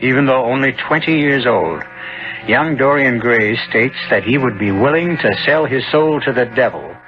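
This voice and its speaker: A man narrates a vintage radio mystery with solemn drama. His voice is mid-pitched and measured, with clear music behind him. The broadcast sounds dull.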